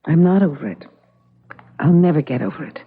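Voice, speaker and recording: An actress playing a subdued, haunted widowed university teacher in an old-time radio drama. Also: female voice, deep voice, brisk, husky. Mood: sad, uneasy. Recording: narrow-band vintage radio recording.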